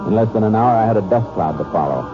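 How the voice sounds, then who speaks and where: An actor playing a tough, steady Texas Ranger in a 1950s radio western, speaking tersely and sternly. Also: male voice, on the low side, fast talker, lightly acted. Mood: grim narrating. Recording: dull old radio recording, faint music.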